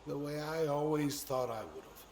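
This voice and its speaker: An actor playing a cowardly middle-aged politician in a video game drama, defensive, halting and pleading. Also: male voice, on the high side, expressive, darkish tone, reverberant, a little hiss or hum.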